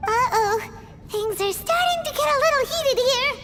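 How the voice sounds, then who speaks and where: A tiny, curious fairy sidekick from a video game. The childlike voice is squeaky and sky-high, bouncing excitedly through every exclamation. It rings with long reverb over music. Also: female voice, moderate pace. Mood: startled. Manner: refers to self in third person, exclamatory.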